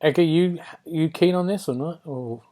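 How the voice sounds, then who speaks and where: A man's voice: on the high side, moderate pace, dark tone. A British man hosting a podcast, chatting casually about video games and often turning statements into questions. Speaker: podcast host.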